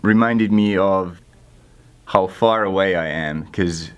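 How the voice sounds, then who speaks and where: Low-voiced young Finnish man. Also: slow pace, clear, resonant tone. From Finland. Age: young adult. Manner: dry self-deprecating humor. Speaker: guest intern. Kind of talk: casual interview answers.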